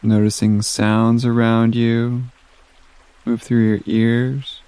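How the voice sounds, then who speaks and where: A man guides a meditation at an unhurried, very slow pace. His voice is mellow and relaxed, with gentle, soothing instructions separated by long pauses. The sound is dry, with no room echo. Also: mid-range voice, clean tone.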